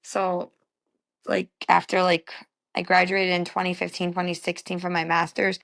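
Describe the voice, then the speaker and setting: A woman's voice: fairly low pitch, level tone, normal speed, gravelly, fairly bright tone. A young woman from the Bronx with a New York accent, rambling casually as an interview guest and filling her talk with "like". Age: young adult. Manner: rambling, many fillers like "like".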